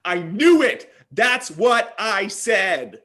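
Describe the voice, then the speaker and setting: Bright, high-pitched Hollywood hustler man acting. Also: big pitch swings, exaggerated voice. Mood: excited. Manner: fast, punchy, over-the-top. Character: brash, name-dropping Hollywood wheeler-dealer man. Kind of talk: comedy script table read.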